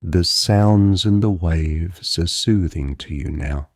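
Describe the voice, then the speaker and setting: A man guides a relaxation exercise in a low, warm voice. His delivery is very slow, calm and deliberate, with a gentle hypnotic flow. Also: no room sound, studio quality. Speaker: guided visualisation narrator. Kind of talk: guided meditation, soothing hypnotic narration.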